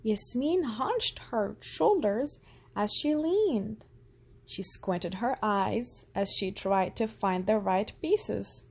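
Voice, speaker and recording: Animated, slow-paced woman storyteller. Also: mid-range voice, slightly rough. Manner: expressive, deliberate. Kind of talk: children's storybook narration.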